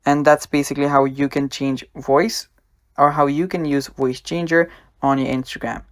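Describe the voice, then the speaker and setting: Guy doing a casual step by step howto voiceover, medium pitch, real dry sound. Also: little pitch movement, moderate pace, dry recording. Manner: simple instructional, addressing viewers as guys. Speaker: tutorial host. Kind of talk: casual step-by-step screen tutorial voice-over.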